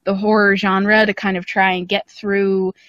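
A woman chats informally as a podcast guest, at an ordinary middle pitch. She sounds close and dry on a remote call, with slightly dulled audio. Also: level tone, unhurried, a bit bright, dry recording, muffled recording. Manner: chatty and informal, often laughing lightly. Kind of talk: casual interview chat.

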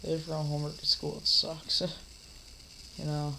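A man's voice: fairly high pitch, completely flat delivery, fast talker, creaky, pushed and bright, a little hiss or hum. A teenage boy coming down with a cold, rambling casually through a home vlog with lots of fillers and restarts. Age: teens. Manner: mock-scolds an imaginary heckler. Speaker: vlogger. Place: dim living room, seated in a recliner.

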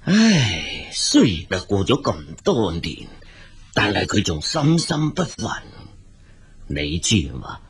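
An actor playing a weary, exasperated elderly father in a radio drama, speaking Hong Kong Cantonese with a husky voice and sighing, drawn-out complaints. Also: fairly low pitch, huge pitch swings, heavily acted. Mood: weary, placating. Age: older.

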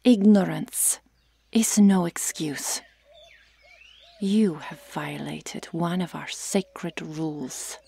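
A stern Amazon warrior guarding her jungle lands, acted by a woman. Her voice is soft-edged and mellow, slipping into whispers. She speaks slowly and formally, with solemn command, in an ASMR roleplay. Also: lowish voice, steady tone, exaggerated voice. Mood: stern, threatening. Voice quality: breathy, often whispering. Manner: formal, solemn, deliberate phrasing.

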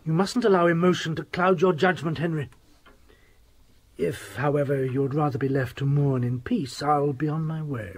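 An actor playing a condescending, insistent doctor in a radio drama, formal and insinuating, with a husky voice. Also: male voice, fairly high pitch, fairly even intonation, moderate pace. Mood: coolly insistent. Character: smooth-talking, insistent, condescending doctor, a sinister gentleman.

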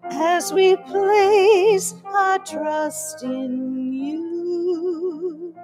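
A woman's voice: extremely high pitch, extremely slow. A middle-aged woman, the music minister, singing a psalm in a large church while playing the piano. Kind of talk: sung liturgical psalm and hymn. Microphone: mic on the piano. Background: her own piano accompaniment.

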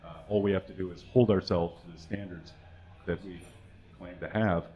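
Deadpan man doing a mock-earnest reading. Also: sits low, normal speed, highs cut off. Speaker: guest reader. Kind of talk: comic dramatic reading. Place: small stage with curtains and brick wall. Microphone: stand mic.

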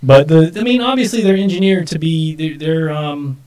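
A man's voice: a little high, normal speed, a little mellow, far from the mic. A man in his early forties chatting casually and off the cuff as co-host of a live stream at a desk microphone.

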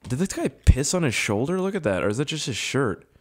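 A young man with a hoarse, strained voice giving casual, profane, self-mocking commentary while playing a game. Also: medium pitch, big pitch swings, brisk. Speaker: gaming YouTuber. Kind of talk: casual let's-play commentary.

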